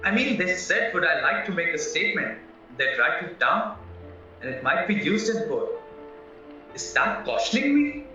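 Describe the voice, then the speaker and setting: A man's voice: sits high, sing-song, moderate pace, pushed and bright, full character voice. Guy acting an earnest chatty young suspect in an audio play, voice all up and down, really echoey. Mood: anxious, earnest. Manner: earnest, colloquial, explaining himself. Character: naive, chatty, polite young husband suspected of murder. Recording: very echoey, distant, muffled, music behind.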